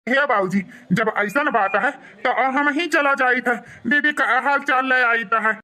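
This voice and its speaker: A man plays a dutiful young villager in a cartoon, eager to help and respectful to his elders. His voice is bright and artificially raised, with an Awadhi accent. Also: very high-pitched, a little slow, exaggerated voice. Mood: concerned.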